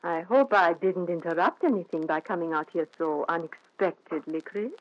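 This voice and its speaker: A woman's voice: lowish voice, normal speed, dry recording, lightly acted. A woman playing a jealous, possessive, menacing wife in an intense, theatrical 1940s radio thriller style. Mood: coolly pointed. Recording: dull vintage 1940s radio recording.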